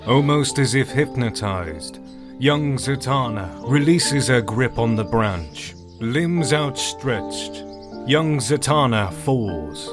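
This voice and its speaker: A man's voice: medium pitch, animated, slow, over music. A man narrating a scripted audio drama as its storyteller.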